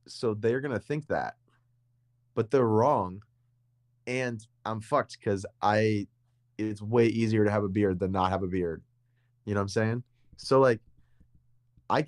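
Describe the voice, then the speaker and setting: A young man with a low, deep voice talks through his point in a relaxed podcast conversation. He rambles and circles back, often repeating words and restarting sentences as he goes. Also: dry recording, studio quality. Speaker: podcast co-host. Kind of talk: casual conversation.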